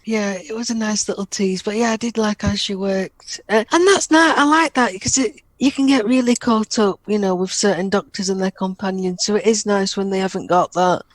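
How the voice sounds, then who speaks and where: A woman's voice: mid-range voice, fairly quick, few pauses. A woman co-hosting a podcast review, agreeable and approving in her remarks. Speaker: podcast co-host. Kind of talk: casual review discussion.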